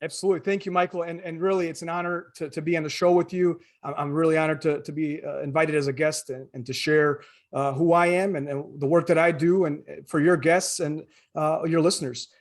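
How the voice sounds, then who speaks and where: American man, slightly high voice, conversational interview guest, halting, repeating words. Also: fairly even intonation. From Chicago area, born in former Yugoslavia. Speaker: podcast guest, attorney.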